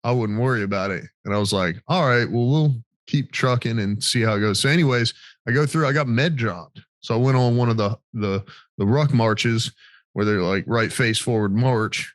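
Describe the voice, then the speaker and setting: A man's voice: medium pitch, moderate pace, dry recording. An American man hosting a veterans' podcast in casual, sweary, storytelling chat. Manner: informal, sweary. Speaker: podcast host, military veteran.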